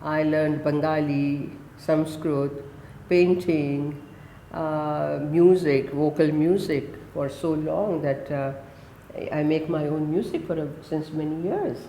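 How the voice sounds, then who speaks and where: Woman, 70s, Indian accent, Gujarati native, low voice, emphatic, roomy echo. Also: warm tone, slight background noise. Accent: Indian English. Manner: emphatic, lists things in quick bursts. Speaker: guest. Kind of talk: conversational interview.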